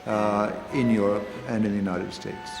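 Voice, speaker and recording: A man explaining an opera's story in an interview, halting often with fillers as he searches for words. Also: on the low side, level tone, vocal fry, over loud music. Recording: echoey hall, faint noise.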